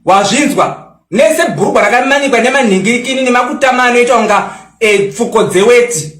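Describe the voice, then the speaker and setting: A man's voice: very high voice, lively intonation, fast, a bit bright, roomy sound. A Zimbabwean man in his twenties, boastful and mocking as he rants back at a critic and slips English into his talk. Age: 20s. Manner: mixes English into local-language talk. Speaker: social media personality. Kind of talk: angry response rant.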